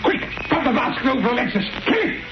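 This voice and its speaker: An actor playing a hot-tempered, belligerent criminal ship's captain who barks excitably in a 1930s radio drama. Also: male voice, extremely high pitch, a little fast, loud music behind the voice. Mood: agitated. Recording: very noisy, muffled, roomy 1930s radio recording.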